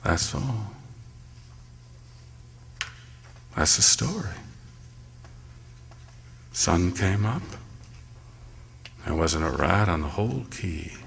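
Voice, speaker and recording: An actor playing a haunted lighthouse keeper who tells his ordeal in a radio play, with gravelly dramatic storytelling that drops to hushed tones. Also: sits low, expressive, takes it slowly, pauses often, long reverb tail, slight background noise, heavily acted. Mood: weary. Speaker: narrator and lead actor. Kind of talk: live radio theatre.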